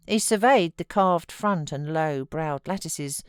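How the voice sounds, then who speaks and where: Low, unhurried British woman reading aloud. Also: smooth, dry recording, clean studio sound. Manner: measured, expressive storytelling. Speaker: voice artist, audiobook reader. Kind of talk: scripted literary narration.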